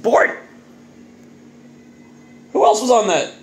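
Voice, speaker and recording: Animated, high-voiced man reviewing on camera. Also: takes it slowly. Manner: animated, exclamatory, jokey delivery with muttered asides. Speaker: beer reviewer and vlog host. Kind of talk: casual solo video review, off the cuff. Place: home kitchen indoors. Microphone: phone or webcam at arm's length.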